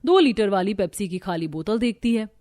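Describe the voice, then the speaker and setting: A woman giving scripted narration for an animated story. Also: medium pitch, a little fast, dry recording, clean studio sound.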